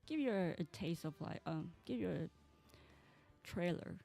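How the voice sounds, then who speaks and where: A woman, a Mandarin speaker, chats through a game stream in a low voice with a gravelly edge. She rambles and restarts her sentences as she goes. Soft game music plays faintly behind her. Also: lively intonation, normal speed. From Taiwan. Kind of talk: casual game stream chat.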